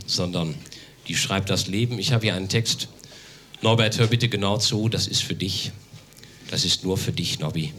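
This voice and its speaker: A man from Germany doing a live comic reading on stage, deadpan and mock-formal with emphatic declamation. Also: medium pitch, slight background noise. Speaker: comedic reader on stage. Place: live on stage in a theatre café, audience laughter behind.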